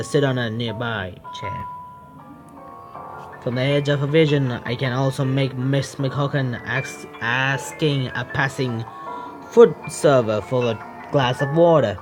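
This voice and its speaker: A male streamer with reads a visual novel aloud at a medium pitch and adds his own commentary. He is halting, often restarting phrases, and faint music sits behind him. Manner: halting reading with stumbles and self-corrections.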